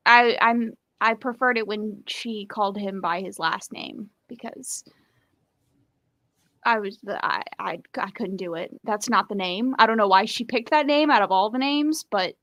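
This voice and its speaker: A woman talks casually as a co-host of an online book chat. Her pitch sits in the middle, and the sound is dry with very little room in it. She is informal and blunt about what she thinks. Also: measured pace, fairly bright tone, no echo. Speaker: co-host of a book readalong live show.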